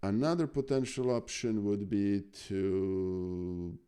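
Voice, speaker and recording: A man's voice: on the low side, takes it slowly, dark tone, clean tone. A man from Serbia with a Serbian accent, thinking aloud hesitantly as a chess student on a live stream. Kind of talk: casual chess lesson chat.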